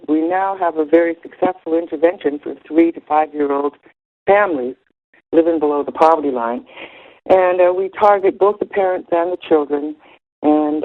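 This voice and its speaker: Woman researcher on a narrow phone line. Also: low voice. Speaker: researcher calling in. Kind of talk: explaining research on a conference call. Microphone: telephone conference call.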